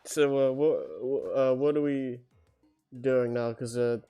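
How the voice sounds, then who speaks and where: A man with a medium-pitched voice chats as a podcast co-host. His delivery is casual and halting, like loose talk among a group. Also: little pitch movement, warm tone, clear, resonant tone, faint music behind. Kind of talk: casual chat.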